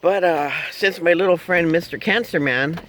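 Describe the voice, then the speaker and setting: A man in his late fifties rambles along from a car seat, cracking jokes and breaking into little hummed tunes. His voice is bright and forward, pitched a touch high for a man, with a faint hiss under it. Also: moderate pace. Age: 50s. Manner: joking, chuckling, humming silly tunes. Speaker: vlogger. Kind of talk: rambling casual chat.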